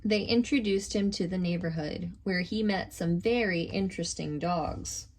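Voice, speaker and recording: Woman reading a storybook to little kids, expressive and casual, bit of noise in the recording. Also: on the low side, normal speed. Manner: expressive, informal, child-directed. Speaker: teacher reading aloud to kindergarteners. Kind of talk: read-aloud storytelling for young children with casual asides.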